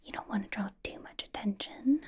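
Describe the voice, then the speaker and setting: A woman voicing a possessive, teasing female vampire boss in an ASMR roleplay, whispering softly in a playful, controlling way. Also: brisk, lightly acted. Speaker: ASMR artist.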